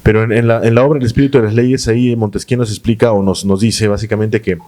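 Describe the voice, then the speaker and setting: Man, host, panel debate, scholarly, fast, warm low voice. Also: fairly even intonation.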